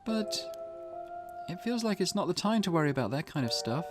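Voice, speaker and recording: Casting: male actor as jittery, impulsive teen schoolgirl for a visual novel, raised pitch, hesitant delivery, music underneath. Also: big pitch swings, a little fast. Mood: pleading, tempted. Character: anxious, impulsive teenage schoolgirl, easily spooked. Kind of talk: character voice reading a visual novel. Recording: music in the background.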